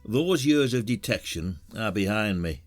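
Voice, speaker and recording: An actor playing a reluctant, older retired detective in a podcast drama, dry and weary with an air of authority. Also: male voice, fairly low pitch, expressive, a little slow, faint music behind. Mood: dry, brisk. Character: retired village police detective, gruff and reluctant.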